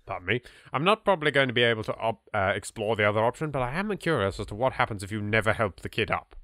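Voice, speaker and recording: Bright, animated man doing game commentary. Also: on the low side, fast talker, dry recording, clean studio sound. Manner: playful, chatty, answers game characters back. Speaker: host of a game playthrough. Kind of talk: let's play commentary.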